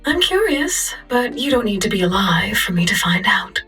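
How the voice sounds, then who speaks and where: Sharp, cold, menacing scientist. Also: female voice, mid-range voice, sing-song, moderate pace, far from the mic, faint music behind. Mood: cold menace. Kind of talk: video game voice acting.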